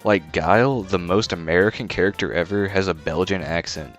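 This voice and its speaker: A man's voice: sits low, fairly quick, muffled recording. A man reading scripted review narration as a video host, blunt, disparaging and informal. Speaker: video essay host and film critic. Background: faint music.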